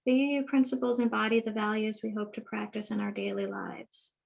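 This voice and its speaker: A woman's voice: medium pitch, steady tone, brisk, creaky, a little mellow. A woman in her thirties reading an earnest church testimonial over a video call. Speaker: church member giving testimonial.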